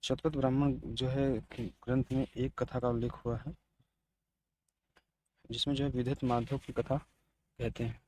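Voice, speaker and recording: A man giving an exam-preparation lecture as a teacher, haltingly repeating and restating his key phrases. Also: mid-range voice, flat delivery, darkish tone, a little hiss or hum. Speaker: teacher of exam history lessons.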